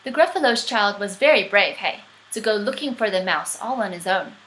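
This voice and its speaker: A woman reading a children's story aloud as an expressive narrator. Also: medium pitch, moderate pace, a little hiss or hum, low-quality recording. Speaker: storybook reader.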